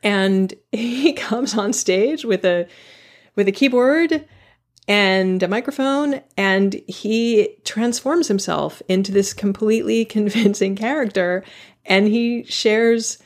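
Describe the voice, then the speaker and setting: A woman's voice: mid-range voice, slow pace. A woman hosting a podcast, talking in a casual, enthusiastic way and laughing often. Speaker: podcast host.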